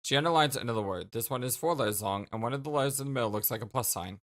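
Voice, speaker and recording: A man's voice: mid-range voice, racing, a bit bright. A man reading visual novel narration as a let's play host, with casual commentary and the odd stumble.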